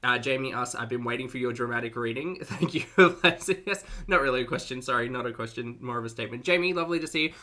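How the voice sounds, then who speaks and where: A man's voice: mid-range voice, fast, few pauses, sharp tone. An Australian man hosting a casual livestream, chatting to viewers in a playful, talkative way with cutesy slang. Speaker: livestream host and reactor.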